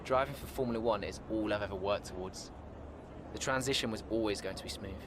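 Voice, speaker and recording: An actor playing a cocky, self-assured young rookie driver giving a post-race interview in a game. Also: male voice, on the low side, a little fast, lightly acted. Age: young adult. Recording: clear outdoor vehicle noise.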